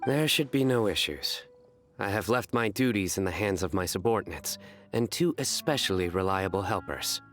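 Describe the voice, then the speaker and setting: A man voicing a stern young general with a deadpan, formal, measured manner in a video game. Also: deep voice, faint music behind. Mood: formal, solemn. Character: stern, deadpan young male general who tells puns.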